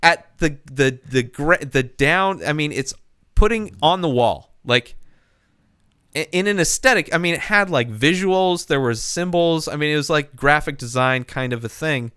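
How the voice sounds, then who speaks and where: A man hosting a casual comedy podcast, talking in a rambling, halting way with plenty of swearing. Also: fairly high pitch, bright, forceful tone, clean tone, dry recording, clean studio sound. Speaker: podcast host.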